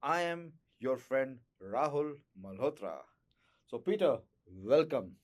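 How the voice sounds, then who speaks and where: A man's voice: medium pitch, expressive, slow, a little mellow. A man hosting an interview, asking effusive questions in flowery, repetitive phrasing. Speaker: interview host.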